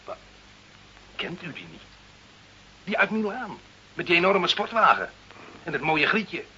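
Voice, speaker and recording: A man's voice: high voice, very animated, unhurried, bright, forceful tone, heavily acted. A man acting as a cynical, grumbling poor bricklayer in a radio play, sardonic and colloquial. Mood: cynical, scornful. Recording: dull old radio recording, faint hiss.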